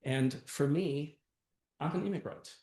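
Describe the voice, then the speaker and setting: A man's voice: fairly high pitch, measured pace, dark tone. Older guy from austria and germany talking earnestly to a crowd, lots of um and right?, echoey room. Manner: earnest, conversational, many fillers and "right?". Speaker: council candidate. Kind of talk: campaign speech at a meeting.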